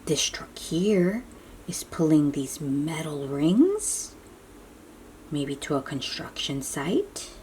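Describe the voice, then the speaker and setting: Slow, low-voiced woman reading to children. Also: big pitch swings, warm tone, a little hiss or hum. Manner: addresses young listeners with questions and simple explanations. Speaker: children's read-aloud host. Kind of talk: picture-book read-aloud for young children.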